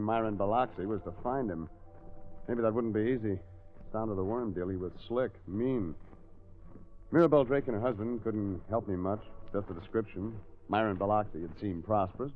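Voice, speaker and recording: An actor playing a wry American private detective, terse and deadpan, in a scripted radio drama. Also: male voice, fairly low pitch, lightly acted. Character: wry, hardboiled American private detective narrating his case. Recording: tinny old radio broadcast recording.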